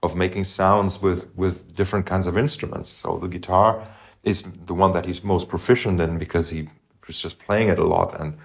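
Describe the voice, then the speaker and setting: A middle-aged man co-hosting a podcast, talking through music in a thoughtful, halting way and humming phrases to make his point. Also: sits low, steady tone, creaky. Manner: thoughtful, halting, hums melodies to illustrate. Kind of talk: casual music discussion.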